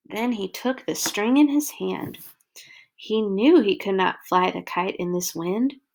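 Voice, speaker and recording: A woman storyteller reading a children's story aloud, expressively, with deliberate dramatic emphasis. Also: medium pitch, gravelly, darkish tone.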